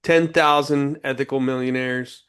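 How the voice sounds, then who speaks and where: Animated male podcast host. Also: measured pace, smooth. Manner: lively, pitch jumps when excited. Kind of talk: casual podcast interview.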